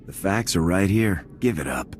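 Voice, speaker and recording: An actor playing a composed, dutiful male army major in a video game, with a brisk, businesslike military manner. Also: low-pitched, animated, a little hiss or hum.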